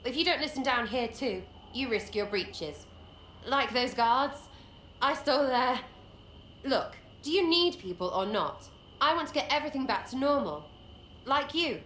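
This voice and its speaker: Scrappy young archer rogue, English accent, irreverent and slangy, highish voice swooping about, roomy game audio. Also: female voice, expressive, unhurried, fairly bright tone. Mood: blunt, rambling. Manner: slangy, blunt, rambling, mocking. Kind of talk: video game dialogue acting. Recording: roomy echo, faint background noise.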